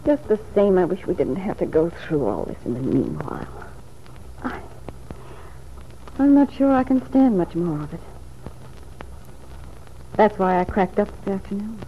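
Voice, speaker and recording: An actress playing an anxious, tearful married woman in love, speaking earnestly and haltingly in an old radio soap opera. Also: mid-range voice, sing-song. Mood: wounded, confiding. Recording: dull, hissy old radio recording.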